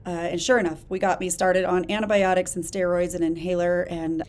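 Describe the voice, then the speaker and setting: A woman hosting a solo podcast, talking in an energetic, emphatic, conversational way with a hoarse voice while she gets over a chest illness. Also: lowish voice, a little fast. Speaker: podcast host. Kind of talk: casual solo podcast talk.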